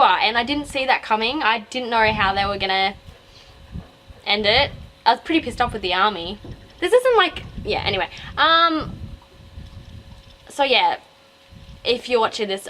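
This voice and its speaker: Young Australian woman, slightly high voice, rambling casual book vlogger; home recording with faint noise. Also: a bit bright, rough recording. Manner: rambling, digressive, self-interrupting. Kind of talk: casual, chatty book review to camera.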